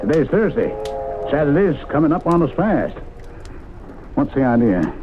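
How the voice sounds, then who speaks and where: A man's voice: on the high side, very animated, normal speed. An actor playing an indignant, hot-headed small-town man, blustering and folksy, in an old radio drama. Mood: worried, skeptical. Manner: blustering, folksy, forceful wording. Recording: tinny, noisy old radio broadcast recording.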